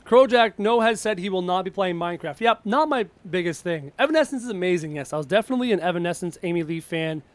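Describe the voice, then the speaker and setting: A man's voice: high voice, expressive, quick pace, few pauses, no room sound. A young Canadian man with a slight cough chats casually during a live gaming stream, reading out viewer questions and getting frustrated with the game. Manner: chatty, reads viewer questions aloud, frustrated outbursts at the game. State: sick with a cough. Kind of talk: casual live-stream chat while playing.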